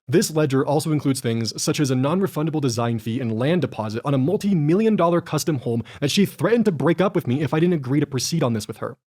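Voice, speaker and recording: A man's voice: fairly high pitch, animated, darkish tone. Earnest young man reading a statement. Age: 20s. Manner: measured, earnest, read from a script. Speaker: video creator reading a statement. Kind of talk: scripted personal statement.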